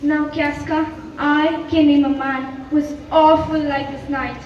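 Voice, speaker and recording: A girl as a high, scornful Roman conspirator. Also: level tone, unhurried. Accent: Indian. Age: teenager. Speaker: student actor in a school play. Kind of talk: school stage play acting. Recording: dull, distant, echoey stage with hiss.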